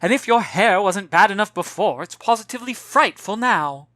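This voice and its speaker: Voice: medium pitch, expressive, moderate pace, a bit bright, dry recording, voice pushed up, natural voice. A narrator voicing a worried mother in a storybook, scolding her child in an exasperated, emphatic way. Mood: softened fussing.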